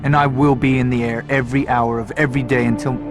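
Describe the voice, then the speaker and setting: A man's voice: mid-range voice, little pitch movement, fast talker, music in the background, natural voice. An actor playing a brooding, principled young male fighter pilot in an earnest, introspective way for a game cutscene. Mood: grieving resolve. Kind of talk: scripted game cutscene narration and dialogue.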